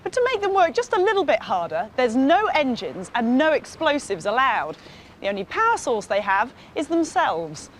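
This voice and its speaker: A woman co-hosting a competition show, announcing the challenge in a crisp, emphatic way. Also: high-pitched, sing-song, moderate pace, slight background noise. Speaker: co-host.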